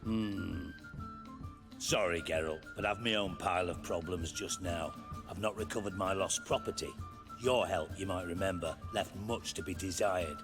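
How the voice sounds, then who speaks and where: A British actor playing a middle-aged, scheming spymaster in a video game, speaking in a wry, coarse, rhetorical way. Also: male voice, low-pitched, moderate pace. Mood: sardonic, dismissive. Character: blunt, scheming, worldly male spymaster. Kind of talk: dramatic video game dialogue. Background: background music.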